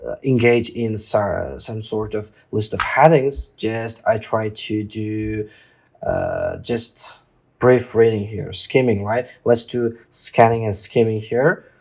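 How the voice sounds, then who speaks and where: A man tutoring informally through an exam exercise, speaking haltingly and often checking in with a questioning tag. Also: lowish voice, expressive, creaky. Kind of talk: informal lesson, walking through a reading test.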